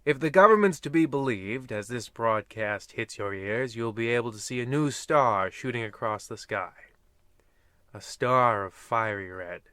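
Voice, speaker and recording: A man's voice: mid-range voice, normal speed, fairly bright tone, clear, resonant tone, dry recording, natural voice. A man playing an ominous, wry old-time radio horror host, theatrical and portentous with dry jokes. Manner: theatrical, portentous, dryly joking. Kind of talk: 1950s radio anthology host parody.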